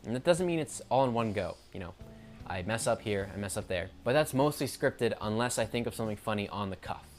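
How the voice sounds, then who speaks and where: Fast-talking rambling man. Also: on the low side, expressive, soft background music. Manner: rambling, corrects himself mid-sentence; stutters now and then. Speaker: YouTuber. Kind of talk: unscripted casual monologue to camera.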